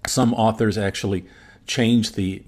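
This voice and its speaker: Low-voiced man, native German speaker, explaining evidence. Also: fairly even intonation, a little slow, a little mellow. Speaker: medical expert guest on a podcast. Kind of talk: informal evidence review in conversation.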